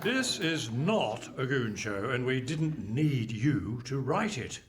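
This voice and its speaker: Animated, sardonic announcer played by a man. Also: mid-range voice, slow pace. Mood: exasperated. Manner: sardonic, theatrical. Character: exasperated, mocking radio play announcer. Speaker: narrator. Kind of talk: live comic radio play narration. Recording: slightly noisy live hall recording.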